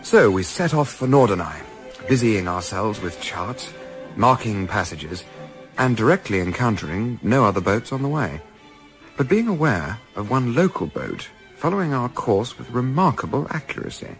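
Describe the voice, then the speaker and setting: A man playing a reflective English gentleman narrator of a sailing adventure in a radio play, with measured, literary, wry phrasing. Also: fairly low pitch, big pitch swings, soft background music.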